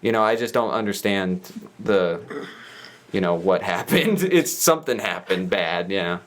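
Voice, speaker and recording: A man's voice: sits low, lively intonation, moderate pace, a bit bright. A man in a casual wrestling discussion, speaking in a hedging, rambling way as a podcast guest. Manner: hedging, rambling with frequent "you know".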